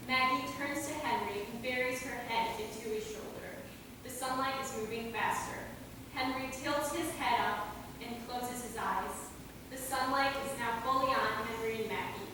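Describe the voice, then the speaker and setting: A woman reads stage directions as a narrator, from far off in a long-reverb hall. Her voice is fairly high, with clear noise around it. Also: normal speed. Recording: echoey hall, distant mic, noisy.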